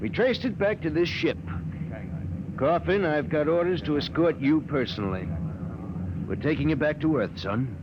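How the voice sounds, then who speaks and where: An actor playing an authoritative, wryly good-humored space patrol major in a 1950s radio drama, speaking in clipped orders. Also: male voice, on the high side, expressive, moderate pace, long pauses, natural voice. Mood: businesslike. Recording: muffled, noisy 1950s radio recording.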